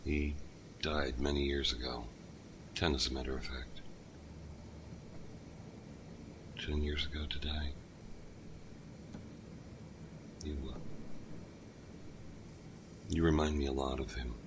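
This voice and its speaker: An actor playing a kind, lonely older father who lost his son, speaking gently with halting pauses. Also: very deep voice, little pitch movement, background noise. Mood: wistful. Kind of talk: audio drama acting.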